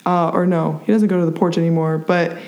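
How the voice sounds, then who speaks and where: A woman's voice: lowish voice, flat delivery, brisk, a little mellow, lo-fi sound. A woman in her early twenties from Pennsylvania, a college student speaking as a podcast guest in a bubbly way, with many fillers and easy laughs. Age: early 20s. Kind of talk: casual interview chat.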